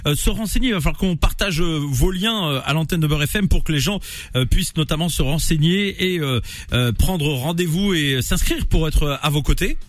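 A man hosting a morning radio show, jokey and hesitant, filling pauses with many fillers. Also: fairly high pitch, no echo. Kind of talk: casual radio interview.

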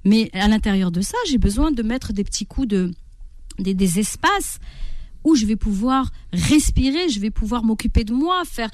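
A woman's voice: on the high side, normal speed, dry recording. A middle-aged woman giving parenting advice as an interview guest, talking expressively and acting out the inner voices of stressed parents. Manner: animated, acts out inner voices.

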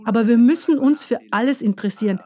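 A woman giving a measured, earnest first-person voice-over for a documentary. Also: on the high side, dark tone. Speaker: voice-over speaker.

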